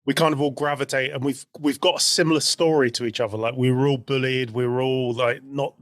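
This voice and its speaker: A man's voice: mid-range voice, fairly even intonation, a little fast, no echo, clean studio sound. A man co-hosting a podcast in casual, bantering conversation. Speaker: podcast co-host.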